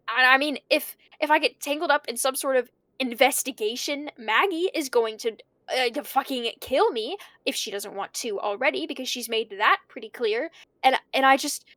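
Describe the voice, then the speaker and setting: A woman's voice: fairly high pitch, fairly bright tone, no echo. A woman playing a panicky, conscience-stricken young student in an audio drama, stammering and rambling anxiously. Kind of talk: audio drama monologue, naturalistic.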